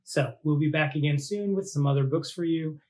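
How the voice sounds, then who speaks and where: A man reading a children's picture book aloud like a teacher, with deliberate dramatic phrasing and drawn-out words. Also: on the high side, warm tone. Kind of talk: animated storybook read-aloud for children.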